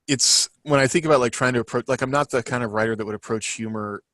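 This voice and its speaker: A man in a casual podcast chat as a fiction writer, talking in a rambling, halting way as he thinks aloud. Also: medium pitch, a bit bright, dry recording, clean studio sound.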